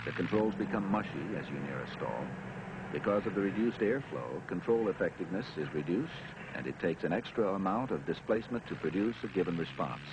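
An American man with a deep voice narrates an instructional film. His delivery is emphatic and his intonation rises and falls in a lively way. The sound is an old 1970s film track with clear noise behind the voice. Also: a little fast.

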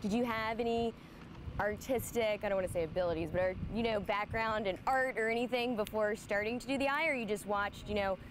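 A young woman in her twenties, a sports reporter, interviewing a guest in an upbeat, lively way, recorded outdoors on a lapel mic. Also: medium pitch, a little fast, background noise. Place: outdoors in a large empty stadium.

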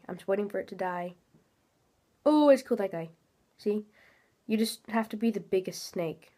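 A woman's voice: fairly high pitch, warm tone. A teenage girl chatting casually while playing a game, a little nasal from congestion, talking from a small room at home into a webcam. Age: teens. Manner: chatty, rambling, many fillers. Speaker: gaming channel host. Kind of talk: casual gameplay commentary.

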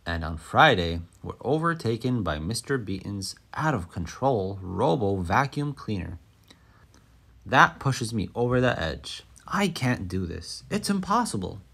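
A man reading a children's picture book aloud as an expressive, dramatic storyteller. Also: fairly low pitch, measured pace, gravelly, husky.

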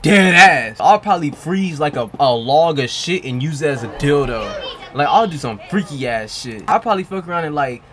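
A young man streaming from his bedroom on a headset, reacting to a video with loud, crude jokes aimed at his chat. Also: fairly high pitch, expressive, normal speed, noisy background. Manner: loud, joking, profane, talks to chat.

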